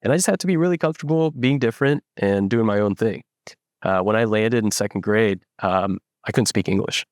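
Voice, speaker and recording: A young man from the Philippines, a startup founder, telling his story in a relaxed interview with lots of fillers and restarts. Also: lowish voice, a little fast, no echo, studio quality. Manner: reflective, many fillers and restarts. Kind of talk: casual interview.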